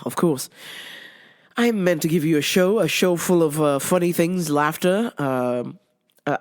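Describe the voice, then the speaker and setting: A young woman whose voice is low and recovering, telling a work story in a profane, animated storytime rant. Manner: profane, animated, laughs a lot. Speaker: vlogger and retail cashier.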